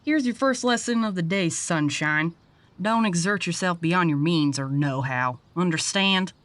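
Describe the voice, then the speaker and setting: An actress playing a gruff, sardonic working woman, blunt and bossy, in an audio drama. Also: fairly low pitch, a little slow, fairly bright tone, dry recording. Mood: blunt, instructing. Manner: blunt, dry, bossy, drawling slang. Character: gruff, sardonic Southern woman pest catcher, seasoned boss. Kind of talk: audio drama character acting.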